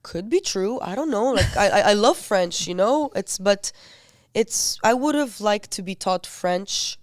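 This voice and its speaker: A woman's voice: mid-range voice, expressive, a little slow, no echo, clean studio sound. A young woman from Lebanon, a podcast guest, chatting casually with lots of "like" and acting out the people she quotes. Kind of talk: casual chat.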